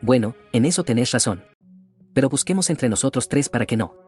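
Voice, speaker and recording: A puzzled Argentine cop in a radio play, acted by a man. He is blunt and questioning, with a mid-pitched, husky voice over faint music. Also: expressive, fairly quick, a little mellow. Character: questioning male police officer, blunt and puzzled. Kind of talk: radio drama acting.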